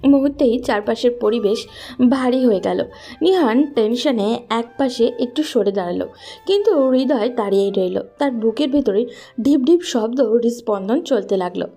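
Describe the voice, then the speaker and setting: A woman's voice: a little high, normal speed, warm tone. A woman narrating a scripted romantic story as its storyteller.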